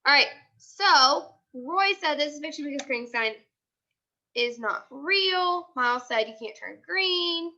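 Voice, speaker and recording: A woman's voice: high voice, animated, a little slow, bright, forceful tone, dull audio. A woman teacher leading a live online class discussion, prompting with repeated questions and short commands.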